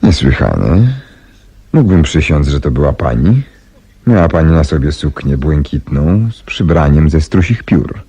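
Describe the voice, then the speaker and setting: An actor playing a composed, shrewd detective in a 1970s Polish radio drama, with measured, politely ironic delivery. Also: male voice, extremely low pitch, lively intonation, warm tone, slightly rough, full character voice. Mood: ironic courtesy. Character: composed, shrewd male detective, courteous and slyly confident. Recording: faintly noisy 1970s radio recording.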